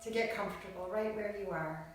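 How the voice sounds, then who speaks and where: A woman's voice: mid-range voice, noisy background. Echoey, distant woman guiding a relaxation. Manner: soothing, unhurried, short phrases with long pauses. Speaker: yoga nidra teacher. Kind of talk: guided relaxation meditation. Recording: very echoey room, distant mic, music behind. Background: music.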